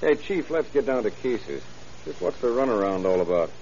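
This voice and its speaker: An actor in a 1940s-style radio drama playing an eager, stubborn homicide detective with an urgent, slangy delivery. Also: male voice, medium pitch, sing-song, normal speed, vocal fry, darkish tone. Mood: impatient. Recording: hissy, muffled old radio recording.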